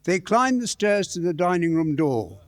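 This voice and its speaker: A man's voice: sits high, sing-song, moderate pace. A man narrating a scripted story as part of a staged radio play. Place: live performance in a church.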